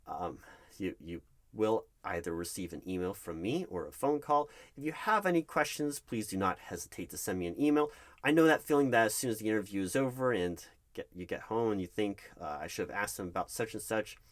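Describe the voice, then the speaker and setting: A man's voice: lowish voice, animated, a little fast, lightly acted. A man playing a nervous, flustered recruiting manager in an interview roleplay, stammering and awkwardly polite. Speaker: roleplay performer. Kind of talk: one-sided interview roleplay.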